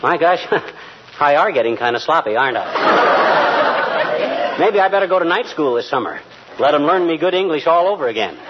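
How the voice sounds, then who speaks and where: An actor playing a blustery middle-aged American husband with slangy, ungrammatical, folksy talk in 1940s radio comedy style. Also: male voice, on the high side, expressive, moderate pace, pauses often, lightly acted. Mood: sheepish. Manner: folksy, slangy, bad grammar. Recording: noisy, muffled vintage radio recording with clicks.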